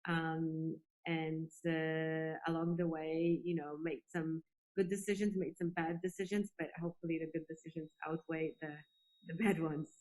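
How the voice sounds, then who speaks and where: A woman's voice: deep voice, flat delivery, moderate pace, a little mellow, poor audio. A woman from Poland giving earnest, reflective answers as a guest in a conversational interview. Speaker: interview guest, space industry executive.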